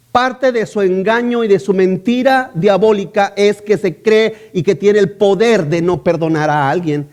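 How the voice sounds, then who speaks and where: A man preaching in a strikingly high voice, pressing his point by repeating phrases. He keeps turning to the congregation with rhetorical questions as a pastor. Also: darkish tone. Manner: emphatic, repeats key phrases. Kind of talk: sermon.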